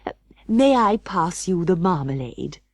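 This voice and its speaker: A woman voicing an excitable, anxious female dog in a storybook reading, flustered and melodramatic. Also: fairly low pitch, a little slow, no echo, studio quality, full character voice. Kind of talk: audiobook storybook character voice.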